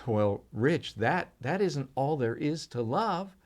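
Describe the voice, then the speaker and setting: A man voicing a gentle father who talks to his son in a soft, patient way. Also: medium pitch, expressive, takes it slowly.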